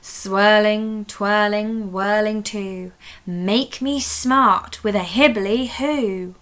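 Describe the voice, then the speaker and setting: A woman's voice: medium pitch, steady tone, very slow, fairly bright tone. A woman voicing eager young schoolgirl witches in a storybook, earnest and sing-song. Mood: eager chanting.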